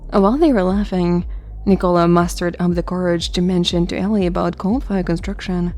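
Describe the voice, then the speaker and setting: A woman narrates a scripted audio story. Her voice sits a little low and is dark and relaxed. She tells it as the narrator. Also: brisk, soft background music.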